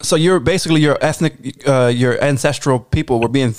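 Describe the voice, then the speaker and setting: A man's voice: mid-range voice, brisk. Laughing rambling American man host. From United States. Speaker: podcast host. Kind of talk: casual chat.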